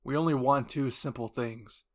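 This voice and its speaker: A man acts out a ruthless young enemy warrior from an anime roleplay. He delivers cold threats in a loud, furious, declamatory yell. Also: medium pitch, normal speed, natural voice. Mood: cold fury.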